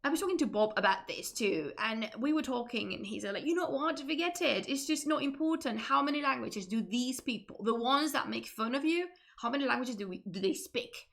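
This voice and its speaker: A woman's voice: fairly high pitch, lively intonation, brisk, vocal fry, few pauses, a bit bright. A Spanish woman speaking English with a Spanish accent, chatting casually with many restarts. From Spain. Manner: chatty, self-interrupting, laughs often. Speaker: streamer. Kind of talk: casual chat.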